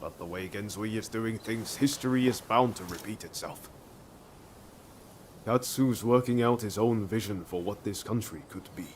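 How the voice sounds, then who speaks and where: An actor playing an earnest, reflective young samurai in a video game, thoughtful and sincere. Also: male voice, fairly low pitch, moderate pace, a little mellow, slight background noise, natural voice. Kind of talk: video game cutscene acting.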